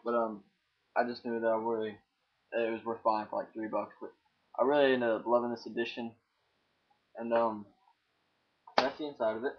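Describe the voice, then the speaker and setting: A man's voice: medium pitch, level tone, moderate pace, pauses often. Young man, dull webcam sound. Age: young adult, about 18. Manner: hesitant, rambling, repeats himself. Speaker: amateur DVD reviewer. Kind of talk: casual, unscripted review vlog to camera. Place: small room indoors with posters.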